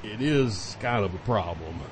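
A man's voice: fairly low pitch, lively intonation, unhurried, a little hiss or hum. A man playing a cocky, folksy, blunt hired repairman in a comic audio drama. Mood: blunt, confident.

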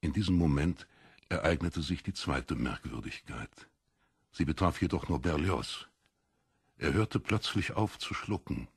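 A man reads literary fiction as a dramatic narrator. His voice is low and husky, with deliberate, expressive phrasing, and the sound is intimate and dry. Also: no echo.

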